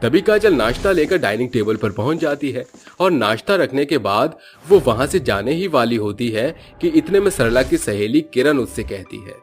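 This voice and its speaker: A man narrating a scripted cartoon story in a steady, plain manner. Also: mid-range voice, normal speed, hardly any pauses, faint music behind.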